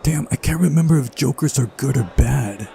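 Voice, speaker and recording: A man's voice: mid-range voice, animated, a little fast, gravelly, warm tone. A man doing a visual novel playthrough, switching between reading narration aloud and casual commentary.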